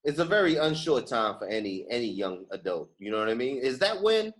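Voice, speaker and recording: A man's voice: mid-range voice, very animated, fairly quick, roomy sound. An American man hosting a casual podcast interview, talking colloquially and repeating his phrases for emphasis. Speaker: podcast host.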